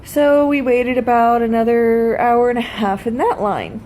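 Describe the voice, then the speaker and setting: A woman's voice: on the high side, measured pace, a little mellow, slight background noise. A woman telling a personal story in a casual vlog style, blunt and freely swearing. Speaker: vlogger.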